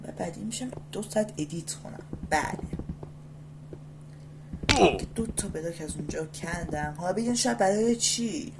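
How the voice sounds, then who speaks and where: A woman with an Iranian Persian accent giving casual, rambling gameplay commentary as a video host, often repeating short phrases excitedly. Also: fairly low pitch. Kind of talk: casual gameplay commentary, talking to self and viewers. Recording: loud background noise and game ambience.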